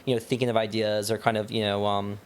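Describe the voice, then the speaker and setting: A man's voice: on the low side, flat delivery, fast, a little hiss or hum. A young American man chatting casually as an interview guest, rambling through stories with lots of "like" and "you know". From Wyoming, United States. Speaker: podcast guest, startup founder. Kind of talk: casual interview chat, storytelling.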